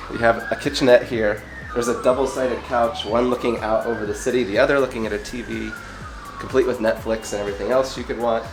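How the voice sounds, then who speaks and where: A man co-hosting a travel vlog, hoarse from a cold, narrating in an upbeat, enthusiastic way. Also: on the low side, poor audio. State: hoarse, losing his voice. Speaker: travel vlogger co-host.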